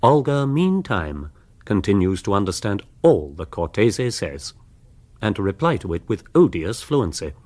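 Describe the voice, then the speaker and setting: An actor as the urbane storyteller of a radio social comedy, narrating with wry relish. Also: male voice, fairly low pitch, very animated, measured pace, a little mellow. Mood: wry, amused. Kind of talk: scripted radio drama narration.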